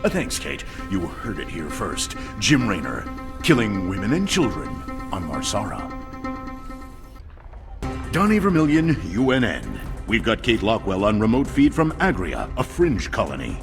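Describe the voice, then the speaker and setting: Pompous, swooping TV news anchor. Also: male voice, fairly low pitch, wildly swooping pitch, a little slow, music in the background, exaggerated voice. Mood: dramatic, dismissive. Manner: sensational, slick, dismissive. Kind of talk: theatrical TV newscast delivery.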